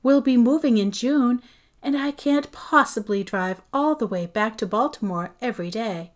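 A woman's voice: on the high side, normal speed, close to an everyday voice. A woman reading aloud voices a cheerful, dreamy artist mother who coaxes her children with enthusiasm. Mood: coaxing. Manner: bright, coaxing, enthusiastic.

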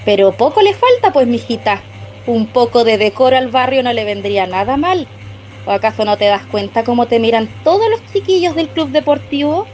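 A prudish Chilean neighborhood mother played by an actress in a radio play, high-voiced and very animated. She scolds and gossips with righteous indignation. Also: fairly quick, faint music behind, close to an everyday voice. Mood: scolding. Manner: indignant, reproachful. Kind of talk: radio drama acting.

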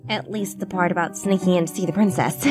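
A woman voicing a dramatic, fussy female cartoon pony in an audio drama, theatrical and expressive, with music underneath. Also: fairly low pitch, a little fast, natural voice. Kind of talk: animated audio drama dialogue. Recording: clear music under the dialogue.

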